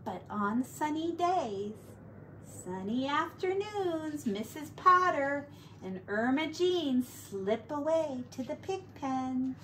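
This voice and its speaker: A woman teacher doing an expressive read-aloud and sing-song play for young children, drawing out her words. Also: high voice, slight background noise, low-quality recording. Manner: expressive, drawn-out words, hushed asides.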